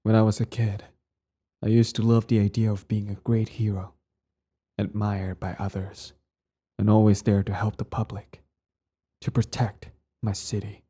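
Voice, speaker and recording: A brooding ex-hero villain, acted by a man, speaks in a low, dark, husky voice. He is bitter yet devoted, measured and intense, with dramatic pauses suited to an intimate ASMR roleplay. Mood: wistful. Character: cold former-hero villain, earnest, bitter, devoted to partner.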